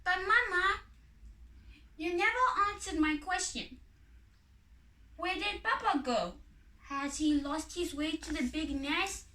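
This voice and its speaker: An anxious little girl bird from a folk tale, acted out by a woman. The voice is very high and bright, with unhurried questions. It echoes a little in the room. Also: far from the mic, exaggerated voice. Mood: pleading, curious.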